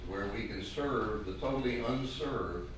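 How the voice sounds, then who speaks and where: Older American male conference speaker, anecdotal, recorded from afar with clear, loud background noise. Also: medium pitch, unhurried, heavy reverb, recorded from a distance. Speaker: conference panelist, radio industry veteran. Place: conference room, picked up at a distance.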